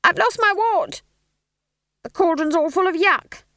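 A woman's voice: very high-pitched, brisk, a little mellow, no room sound, studio quality, voice pushed up. A woman voicing jittery young male apprentice actors in an audiobook, anxious and eager as they play at being evil hags. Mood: panicked. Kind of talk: audiobook character voice.

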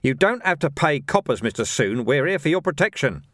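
A man's voice: a little high, very animated, brisk, fairly bright tone, no room sound, clean studio sound, pitched above the performer's own voice. Guy doing a fed-up city cop boss in an audiobook, blunt, grouchy, voice pushed up a bit. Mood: brusque, impatient. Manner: blunt, exasperated. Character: gruff, irritable, weary city watch commander. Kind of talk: audiobook character voice.

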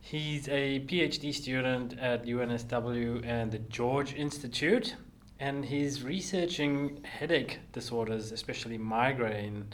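A man hosting a podcast, upbeat and chatty, with quick laughing asides. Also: medium pitch. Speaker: podcast host. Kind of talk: podcast interview.

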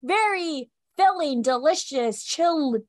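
A young woman co-hosting a casual podcast, animated and giggly, her voice stuffy from a cold. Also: high voice, slow, dry recording, studio quality. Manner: giggly and animated, bursts into silly singing. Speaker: podcast co-host. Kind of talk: casual chat.

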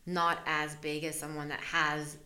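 A woman's voice: low voice, flat delivery, unhurried, pushed and bright. A woman from El Salvador, a business owner, talking candidly in an interview. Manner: candid, chatty, laughs often. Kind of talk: casual interview.

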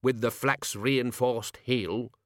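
A man's voice: medium pitch, steady tone, takes it slowly, fairly bright tone, no room sound, studio quality. A man voicing a fussy, indignant academic wizard who grumbles peevishly in a storybook reading. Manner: peevish, muttering.

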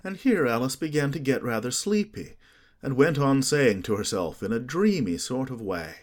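A man narrating an audiobook in an expressive storytelling manner. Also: medium pitch, normal speed.

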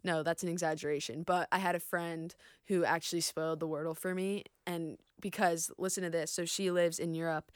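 Low-voiced young woman, chatty storyteller. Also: steady tone, brisk, few pauses, smooth, no room sound, studio quality. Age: young adult. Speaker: podcast co-host, college student. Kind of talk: casual chat.

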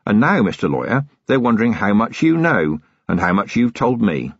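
A man doing a gruff, sardonic police commander. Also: mid-range voice, very animated, normal speed, no echo, dull audio. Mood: menacing. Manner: stern, menacing, dryly sarcastic. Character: tough gruff middle-aged male police commander.

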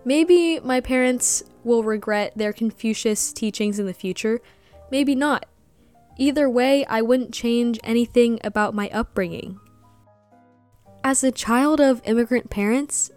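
Earnest young woman podcaster, higher voice. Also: a little slow, faint music behind. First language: Vietnamese. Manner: earnest, soft-spoken, breaks into giggles at the end. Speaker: podcast host. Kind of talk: personal reflective podcast monologue.

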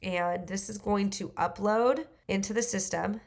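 A woman with a stuffy nose from the flu, casually talking through a software demo and thinking aloud as she clicks. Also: mid-range voice, measured pace, gravelly. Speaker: real estate investor. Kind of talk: screen-recorded software walkthrough.

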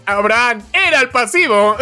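A man with an accent from Spain co-hosting a casual chat podcast, telling anecdotes in an expressive, dramatic way and acting out dialogue. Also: very high voice, moderate pace, soft background music. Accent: Spanish from Spain. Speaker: podcast co-host.

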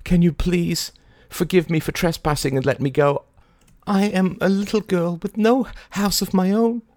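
A man voicing a sly woman trickster who speaks gently and teasingly, with giggles. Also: lowish voice, steady tone, normal speed, dry recording, clean studio sound, voice pushed up, lightly acted.

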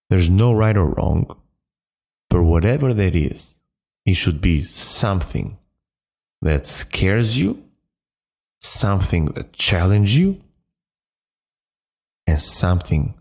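A man with a deep, mellow voice hosts a motivational podcast on his own. His phrasing is halting and emphatic, often circling back to repeat words. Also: gravelly, slightly rough. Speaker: podcast host. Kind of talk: motivational solo podcast talk.

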